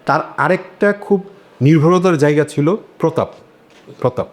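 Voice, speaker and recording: A man's voice: a little high, normal speed. A man hosting a conversational panel discussion, hesitating and repeating words as he forms his points. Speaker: discussion host.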